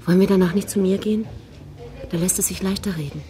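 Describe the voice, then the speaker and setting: An actress playing a forthright, flirtatious and discontented middle-aged academic's wife in a radio drama, wry and teasing. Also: female voice, medium pitch, fairly even intonation, fast, mellow, lightly acted. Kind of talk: naturalistic radio drama acting.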